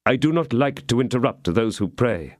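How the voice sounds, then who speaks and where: Grave stiff young soldier guard, read by a man, measured and serious, lively lilt, dry close sound. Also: mid-range voice, dry recording, close to an everyday voice.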